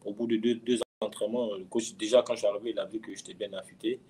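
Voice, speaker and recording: A man's voice: fairly low pitch, fairly even intonation, quick pace, warm tone, a little hiss or hum, poor audio. A man in his thirties, a footballer being interviewed, talking casually and often repeating words and phrases for emphasis. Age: 30s. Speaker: professional footballer, interview guest. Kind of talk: casual conversational storytelling.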